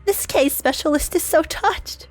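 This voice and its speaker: A woman's voice: sits high, measured pace, voice pushed up. A woman voicing a bubbly, ambitious young female subordinate in a video game, talking gleefully with theatrical self-importance. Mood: gleeful, mock-touched.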